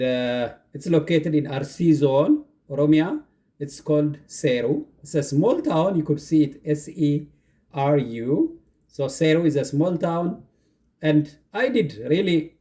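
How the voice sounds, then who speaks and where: Earnest Ethiopian man, mellow voice. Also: fairly high pitch. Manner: earnest, builds long lists of points. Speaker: university instructor presenting his charity. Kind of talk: casual explanatory monologue.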